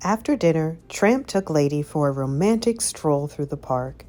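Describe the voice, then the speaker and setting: A woman narrating a children's story as an expressive read-aloud. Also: sits low, normal speed, faint music behind.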